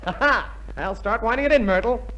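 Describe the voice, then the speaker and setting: A man playing an excitable, cowardly, bumbling soldier in a blustering 1950s radio comedy style. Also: extremely high pitch, a little fast, lo-fi sound. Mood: bossy, eager. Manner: exclamatory, blustering. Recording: noisy 1950s live radio, audience.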